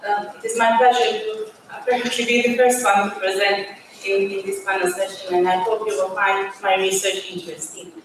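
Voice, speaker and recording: A woman's voice: mid-range voice, little pitch movement, a little fast. Echoey Bosnian-accented woman presenting research. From Bosnia and Herzegovina. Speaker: PhD student presenting research. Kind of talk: formal academic presentation. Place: large auditorium. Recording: very echoey hall, distant mic, faint noise.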